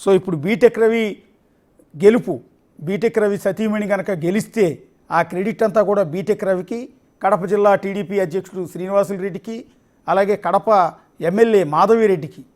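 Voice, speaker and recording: A man anchoring live election news, delivering commentary deliberately, phrase by phrase. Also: high-pitched, a little mellow.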